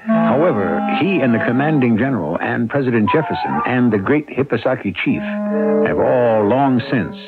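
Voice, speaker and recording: Narrator: man, old radio drama, grand and deliberate, expressive range, thin narrowband sound. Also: medium pitch. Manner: grandiose, deliberate storyteller phrasing. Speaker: host and narrator. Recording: tinny radio recording over loud music.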